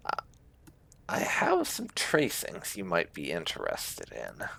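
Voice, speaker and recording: Low voiced guy chatting through a game, slow n creaky, little explainer asides. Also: huge pitch swings, crowd noise. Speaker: gaming YouTuber. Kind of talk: casual game commentary.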